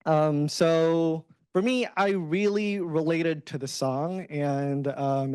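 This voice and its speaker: American guy lecturing to students, kinda high voice, lots of ums and "right?", dry sound. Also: a little mellow, dry recording. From Philippines. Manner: halting, many fillers and restarts, checks in with "right?" and "okay?". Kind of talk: casual presentation talk.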